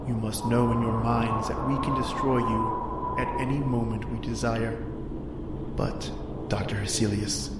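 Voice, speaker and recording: A man's voice: medium pitch, steady tone, a little slow, heavy background noise, close to an everyday voice. A man voicing a merciless shadow spirit in a radio horror play, speaking in an ominous, taunting manner. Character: menacing Shadow People spirit, gloating and merciless. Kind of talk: old-time radio horror acting. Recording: echoey, distant, music underneath. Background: music.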